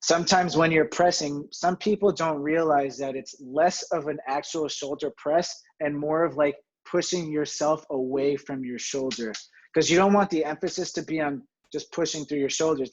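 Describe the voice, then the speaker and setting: A man from New Jersey teaching a home exercise webinar from his home, chatty and self-deprecating, with a steady, fairly monotone delivery and filler words. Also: a little high, normal speed, lo-fi sound. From New Jersey, USA. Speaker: physical therapy webinar presenter.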